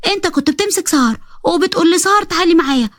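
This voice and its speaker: A woman's voice: very high-pitched, lively intonation, very fast, no room sound, studio quality, voice pushed up. A woman with an Egyptian accent voicing a chatty, innocent little girl who talks in a childish, pleading way. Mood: upset.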